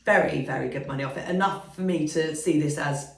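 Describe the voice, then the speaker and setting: Husky low-voiced Englishwoman, blunt. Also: a little fast. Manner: blunt, swears freely, self-assured. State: husky from a flu. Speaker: podcast co-host. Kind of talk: frank casual chat. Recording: roomy echo, distant mic.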